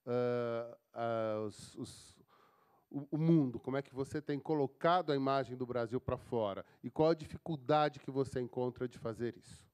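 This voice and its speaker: A man moderating a university panel discussion, prompting his colleague to speak in a measured way. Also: medium pitch, a little mellow, clear, resonant tone.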